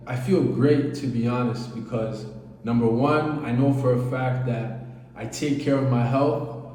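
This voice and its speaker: A man in his late twenties speaking in an earnest, relaxed, casual monologue, using easygoing slang. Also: mid-range voice. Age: 20s. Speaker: vlogger. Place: abroad, recorded on location. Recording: roomy echo from far off, faint ambience.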